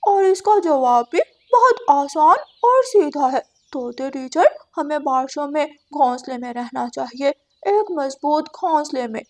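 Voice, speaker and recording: A woman's voice: sits high, animated, measured pace, few pauses. A woman voicing a boastful, simple-minded little boy bird with a cocky manner in a cartoon. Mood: confident. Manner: cocky, self-assured.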